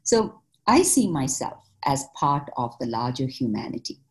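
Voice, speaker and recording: A woman from Malaysia, a social worker and mother, answering interview questions in a reflective, measured way with frequent fillers. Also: extremely low pitch, very animated.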